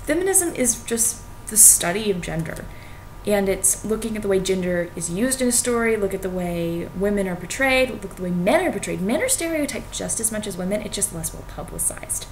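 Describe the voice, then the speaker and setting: A young woman with a mid-pitched voice talks viewers through a subject in an informal, explanatory way. She is conversational and self-deprecating, slipping into colloquial asides. The home recording carries faint noise and a low rumble. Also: lively intonation, a little fast, low-quality recording. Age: young adult. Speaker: student making educational videos.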